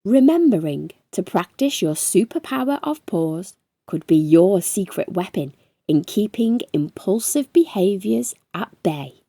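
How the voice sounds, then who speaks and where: A woman narrates a children's storybook in a slow, highly varied voice. Her tone is soft-edged and mellow, and she stretches words for emphasis. The recording is close and dry. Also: no room sound. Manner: animated, deliberate storytelling with drawn-out emphasis. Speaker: storytime host reading a children's book aloud. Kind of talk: expressive children's read-aloud narration.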